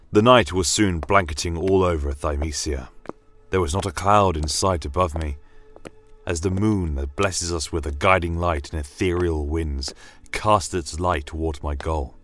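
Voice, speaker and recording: A man playing a solemn church inquisitor, narrating a fantasy tale in a measured, brooding manner with deliberate pauses. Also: deep voice. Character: solemn male church inquisitor recounting a wondrous, troubling journey. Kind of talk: dramatic first-person fantasy audio narration. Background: soft fantasy ambience music.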